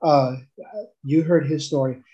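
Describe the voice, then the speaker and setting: A young man from El Salvador tells his own story in a loose, rambling way, often stopping to restart. His voice sits a little high but sounds mellow and warm. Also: steady tone, a little slow, lo-fi sound. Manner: rambling, with many fillers and restarts. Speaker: guest giving a testimonial. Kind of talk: casual testimonial chat.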